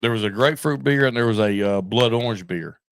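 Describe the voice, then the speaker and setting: Guy co-host just chatting, mid-pitched, folksy, dry close sound. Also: fast, no room sound. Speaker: podcast co-host. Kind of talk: casual chat.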